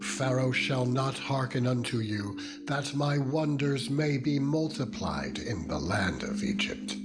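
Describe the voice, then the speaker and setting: A man narrating scripture in a solemn, measured and deliberate dramatized reading style. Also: medium pitch, lively intonation. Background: loud meditative music with ringing tones.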